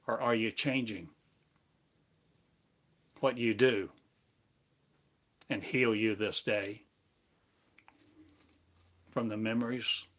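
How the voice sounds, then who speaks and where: Slow, chanting male preacher. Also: mid-range voice, a bit bright. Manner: chanting, incantatory, drawn-out phrases. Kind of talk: slow free-form spiritual sermon. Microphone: live stream device.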